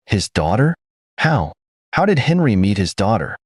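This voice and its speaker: A man's voice: on the low side, big pitch swings, moderate pace, slightly rough, dry recording, clean studio sound. A man voicing an aging king in a read folk tale, exclaiming with sorrow over a lost friend. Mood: astonished. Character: aging male king, grieving old friend.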